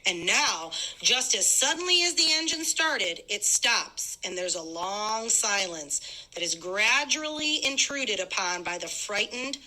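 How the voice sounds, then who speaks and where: Bright-voiced woman narrating a play. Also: mid-range voice, expressive, unhurried, rough recording. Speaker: narrator reading stage directions. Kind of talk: scripted dramatic narration.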